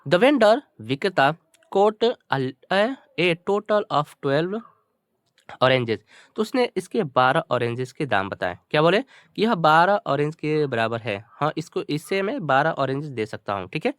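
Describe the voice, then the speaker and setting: A man's voice: fairly high pitch, big pitch swings, takes it slowly, fairly bright tone, no room sound, clean studio sound. A man teaching through a story, reading phrases out and translating them word by word in a repetitive, explanatory manner. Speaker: teacher, channel host.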